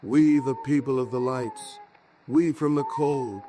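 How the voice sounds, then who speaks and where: An actor playing an alien hive-mind that speaks slowly and solemnly through a possessed man, in 1940s radio horror style. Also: mid-range voice, mellow, lightly acted. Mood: solemn, eerie. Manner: slow, solemn, commanding, speaking as 'we'. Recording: noisy vintage 1940s radio broadcast.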